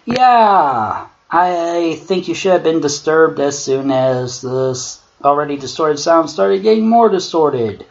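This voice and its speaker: A man voicing a wry god of struggle who reads a horror story dramatically and mocks it in a theatrical, sarcastic, deliberate way. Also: a little high, pitched above the performer's own voice. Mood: sarcastic exasperation. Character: male god of struggle, Discord's brother, wry theatrical critic. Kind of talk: dramatic creepypasta reading with mocking commentary. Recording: dull lo-fi mic with clear noise.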